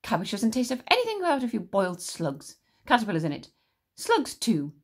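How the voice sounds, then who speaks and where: A woman's voice: a little high, huge pitch swings, brisk, breathy edge, voice pushed up, heavily acted. A woman voicing a tiny, cruel, grumbling old grandmother who snaps orders and relishes disgusting food. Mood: relishing. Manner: snappish, bossy, relishing disgust.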